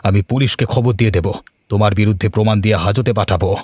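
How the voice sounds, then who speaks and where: Man acting an earnest, low-voiced repentant crook. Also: quick pace, a little mellow, slightly rough, dry recording. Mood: stern. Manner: earnest, admonishing. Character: repentant male crook, an earnest, protective older comrade. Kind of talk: cartoon voice acting.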